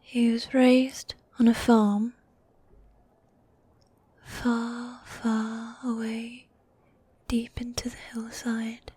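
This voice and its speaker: A woman voicing a needy, dominant goth demon girlfriend in a soft, breathy, coaxing whisper, in a medieval fantasy ASMR roleplay. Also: a little high, level tone, no echo, exaggerated voice. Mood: hushed storytelling. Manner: affectionate, coaxing, drawn-out genteel phrasing.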